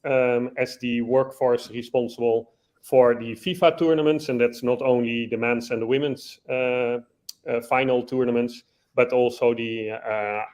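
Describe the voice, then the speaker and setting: A man's voice: medium pitch, fairly even intonation, unhurried, darkish tone. A man from the Netherlands with a slight Dutch accent, introducing himself as a guest on a video call from his laptop, with some fillers.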